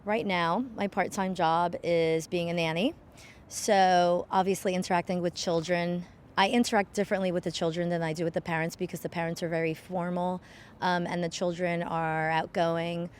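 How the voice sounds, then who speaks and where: A woman's voice: on the low side, flat delivery, moderate pace, a little hiss or hum. A woman answering a street interview in a chatty, informal way.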